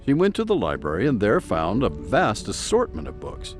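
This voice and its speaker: A man's voice: on the low side, wildly swooping pitch, normal speed, vocal fry. A man narrating a scripted audio drama in a dramatic storytelling manner. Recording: prominent music behind narration.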